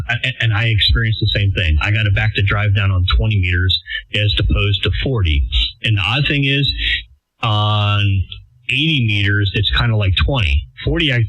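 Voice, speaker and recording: A man's voice: fairly low pitch, fairly bright tone, no echo, dull audio. A man in a casual, rambling, self-deprecating chat as an amateur radio operator, heard over a band-limited radio transmission.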